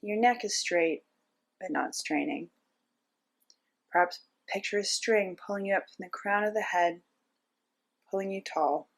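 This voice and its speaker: A woman's voice: medium pitch, creaky. Woman guiding a meditation. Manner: gentle step-by-step instructing with frequent pauses. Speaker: meditation teacher. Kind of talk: guided meditation narration.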